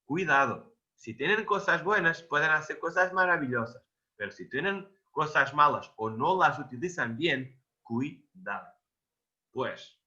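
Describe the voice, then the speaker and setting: A man with a Portuguese accent gives an expert explanation, hammering points home with repetition and searching for words now and then. His voice sounds very bright and forceful, and the audio is dull and lo-fi. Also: on the high side, lively intonation, measured pace. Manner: emphatic, repeats words for stress, hesitant over vocabulary. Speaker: electro-aesthetics expert guest. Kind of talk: technical explanation in a video interview.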